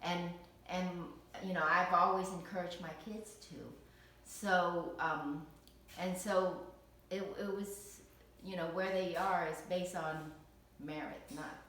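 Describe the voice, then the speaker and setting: A woman's voice: fairly low pitch, steady tone, slow, fairly bright tone, a little hiss or hum. A woman from Vietnam being interviewed as a parent, speaking hesitantly with many fillers and restarts. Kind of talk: casual recorded interview. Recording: echoey room, distant mic.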